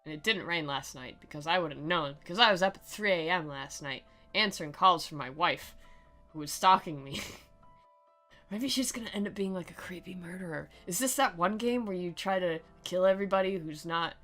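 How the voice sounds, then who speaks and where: A woman's voice: on the low side, moderate pace, fairly bright tone, faint music behind. A woman giving sarcastic, theatrical commentary as she plays and reads aloud from a video game, in a mocking, giggle-prone way. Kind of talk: sarcastic playthrough commentary with dramatic reading.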